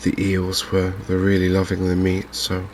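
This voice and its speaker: A man with an English accent giving gentle, unhurried, softly spoken ASMR commentary on a video game. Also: deep voice, completely flat delivery, slight background noise. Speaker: gaming video host. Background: fishing game ambience.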